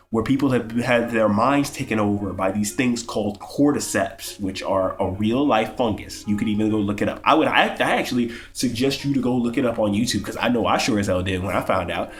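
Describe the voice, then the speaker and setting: A young man with a cold, sniffling as he gives a casual, rambling, profane game review with lots of stammered restarts. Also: mid-range voice. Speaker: gaming YouTuber.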